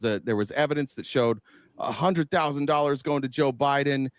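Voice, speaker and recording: Man, mid pitch, political commentator, casual, emphatic, foul-mouthed, dry close sound. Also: moderate pace, no room sound. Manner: emphatic, rhetorical questions, swears freely.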